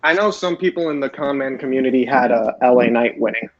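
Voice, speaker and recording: A man hosting a podcast, giving a casual wrestling recap in a halting way, often repeating phrases. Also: medium pitch, a loud crowd. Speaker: podcast host. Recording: roomy, dull lo-fi voice chat.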